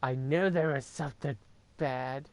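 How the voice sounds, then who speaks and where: A woman's voice: very deep voice, unhurried. A woman giving casual, reactive commentary while playing a video game. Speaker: gamer streaming a playthrough. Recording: loud game music behind.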